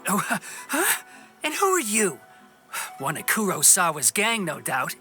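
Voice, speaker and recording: A woman's voice: medium pitch, wildly swooping pitch, measured pace, sharp tone, exaggerated voice. An actress playing an indignant, demanding village woman in a video game, stern and emphatic. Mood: suspicious. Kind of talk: video game cutscene acting. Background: game music.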